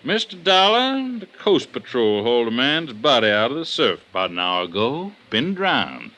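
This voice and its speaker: A man's voice: medium pitch, sing-song, moderate pace, pushed and bright. An actor playing a stubborn, folksy male prosecutor with a courteous manner in a vintage radio drama. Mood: grave surprise. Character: stubborn, folksy male district attorney's official. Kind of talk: 1950s radio drama acting. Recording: noisy vintage radio recording.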